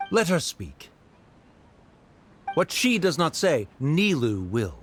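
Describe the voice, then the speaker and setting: A haughty male scholar from a video game. The character is a stern father who speaks very slowly and with disdain. The slightly high voice has faint noise behind it. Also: sing-song. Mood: cold. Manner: disdainful, deliberate.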